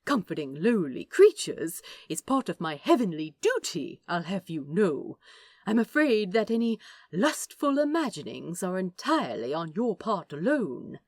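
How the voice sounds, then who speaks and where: A woman voicing a prim, flustered, gentle male angel in an audiobook, fussy and formal in manner. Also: lowish voice, very animated, unhurried, dry recording, clean studio sound. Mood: prim indignation. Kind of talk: fan fiction audiobook character voice.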